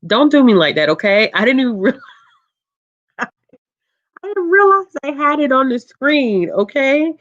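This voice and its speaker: A book channel host chatting casually on a livestream, reading out viewer comments in a calm, low voice, relaxed and laughing often with lots of ums. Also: unhurried, pauses often, muffled recording.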